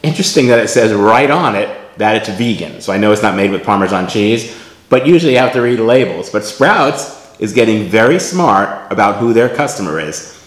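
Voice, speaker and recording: A man's voice: fairly low pitch, expressive, moderate pace, roomy sound. A middle-aged man hosting a casual kitchen vlog, chatty and often tagging his lines with "right?" while he shows food to the camera. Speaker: vlog host. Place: home kitchen, indoors.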